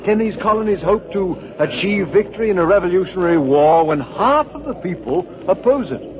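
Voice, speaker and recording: A man's voice: on the high side, natural voice. A man playing a stern, alarmed colonial congressman in a vintage radio drama, speaking in formal, emphatic oratory. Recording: dull old radio recording, crowd noise.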